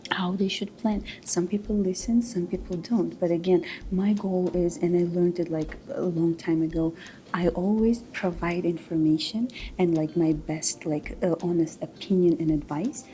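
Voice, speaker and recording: A woman's voice: fairly low pitch, fairly even intonation, normal speed, dark tone, a little hiss or hum, low-quality recording. A woman from Tajikistan in her thirties, talking casually as an interview guest, with many fillers and restarts. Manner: loose, filler-heavy, frequent restarts. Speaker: realtor, guest.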